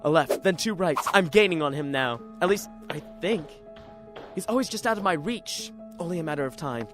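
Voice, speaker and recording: A performer plays an excitable library regular narrating a radio drama in the first person. The delivery is dramatic and self-questioning, with a very animated pitch that rises and falls sharply. Music plays behind the voice. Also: normal speed, natural voice. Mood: urgent, intrigued. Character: jittery, excitable library regular narrating his own strange chase.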